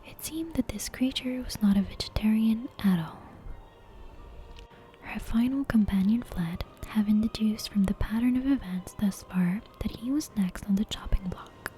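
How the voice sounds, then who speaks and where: A woman reading a bedtime story as ASMR in a soft, breathy, unvoiced whisper, with hushed and deliberate narration. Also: mid-range voice. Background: soft game soundtrack music.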